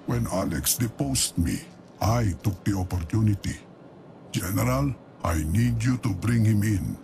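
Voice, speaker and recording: An actor playing an aged, deposed dynasty leader in a video game, solemn and conspiratorial in his deliberate briefing monologue. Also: fairly low pitch, creaky. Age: older. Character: aged deposed dynasty leader, scheming, grave, obsessive patriarch.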